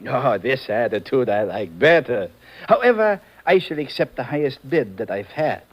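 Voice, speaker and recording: An actor playing a sly, greedy broker with a German accent in a 1950s radio drama, suave, smug and formal. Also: male voice, medium pitch, big pitch swings, moderate pace, highs cut off. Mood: amused, oily.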